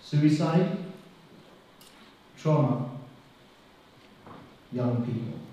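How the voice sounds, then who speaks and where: A middle-aged man with a heavy Israeli accent, a clinical social worker speaking slowly and deliberately to an audience, with pauses and soft drops in his voice. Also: on the high side, slight background noise. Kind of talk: public speech to an audience. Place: large hall. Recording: distant, echoey, dull hall recording.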